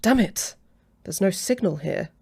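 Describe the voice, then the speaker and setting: Woman acting a low, mellow, haughty female vampire. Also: lively intonation, a little slow, faint music behind. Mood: flustered, irritated. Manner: snippy, sarcastic, easily flustered. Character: haughty, jealous, bickering female vampire, romantically obsessed. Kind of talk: ASMR roleplay.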